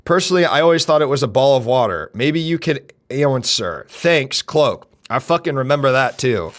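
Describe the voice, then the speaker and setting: A young man with a deep voice, streaming and chatting casually, loud and brash, swinging from shouts to mutters. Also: lively intonation, moderate pace. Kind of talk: casual live-stream chat.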